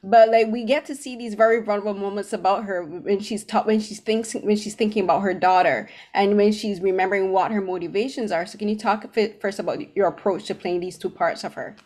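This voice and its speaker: A woman's voice: medium pitch, fairly even intonation, fairly quick, hardly any pauses, darkish tone. A middle-aged woman hosting a film interview in a conversational style over a video call. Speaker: interview host. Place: small room at home. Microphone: computer mic on a video call.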